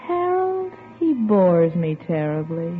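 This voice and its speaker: Seductive dream woman, lowish and unhurried, coaxing, vintage radio-drama recording with narrow sound. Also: very animated, faint music behind. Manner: sultry, coaxing, languid. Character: alluring, self-assured dream woman, seductive temptress. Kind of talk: 1940s radio drama acting. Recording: tinny old radio recording.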